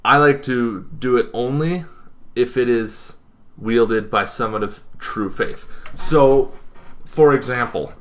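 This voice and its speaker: A young man talking casually to camera, explaining things in an unscripted way with emphatic phrasing and pauses. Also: medium pitch. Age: young adult. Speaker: tabletop gaming video host. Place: small bedroom, indoors.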